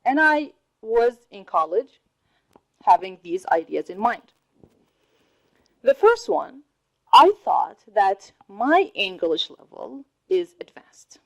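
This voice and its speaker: A woman in her thirties whose first language is Arabic, giving a slow, deliberate talk to a college audience and laughing often. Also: medium pitch, big pitch swings, rough recording. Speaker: student speaker. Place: college hall.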